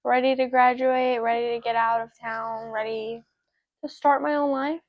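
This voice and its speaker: A young woman of eighteen with a high voice and plenty of vocal fry chats casually on a podcast. She speaks informally, leaning on "like", and the recording is dry and slightly dull. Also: flat delivery, moderate pace, no room sound. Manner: informal, heavy use of "like". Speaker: podcast co-host.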